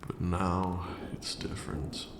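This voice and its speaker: A man playing an ancient, brooding vampire lord in a slow ASMR roleplay monologue, hushed and grandiose, with measured archaic phrasing. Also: monotone. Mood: calm, disdainful. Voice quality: very deep, gravelly, hushed. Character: ancient male vampire lord, brooding, cruel yet now weary. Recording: roomy echo, audible background noise.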